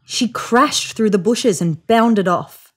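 A woman in her thirties reading a children's picture book aloud on a sofa, with voiced sound effects and hushed whispers. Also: mid-range voice, slow pace, husky. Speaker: storybook reader. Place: indoors on a sofa.

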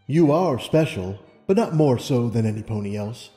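A man plays a stately pony monarch from a storybook. The voice is mellow and deliberate, calm and wise, gently probing as it goes. It carries in a long, echoing hall reverb. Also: mid-range voice, faint music behind, lightly acted. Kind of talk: audiobook character reading.

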